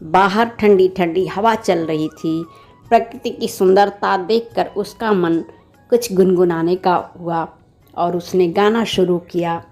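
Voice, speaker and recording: Slow-paced woman telling a bedtime story. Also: on the low side, a little mellow, faint music behind. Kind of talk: children's bedtime story narration.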